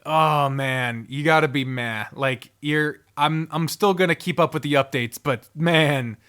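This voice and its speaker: A man's voice: a little high, unhurried, fairly bright tone, smooth. A man giving casual, rambling ranking commentary, thinking aloud and swearing freely in an informal way. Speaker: host.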